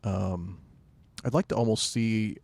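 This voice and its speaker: A man co-hosting a podcast in casual pop-culture chat, halting and rambling as he corrects himself. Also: lowish voice, vocal fry, a little mellow, no echo. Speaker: podcast co-host.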